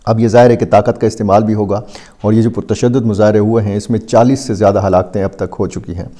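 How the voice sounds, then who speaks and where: A Pakistani man with a fairly low, very mellow voice delivers off-the-cuff news commentary. He speaks in a deliberate, halting way, often stretching out filler sounds as he explains events to his viewers. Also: fairly even intonation. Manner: halting, deliberate, with frequent drawn-out hesitation sounds.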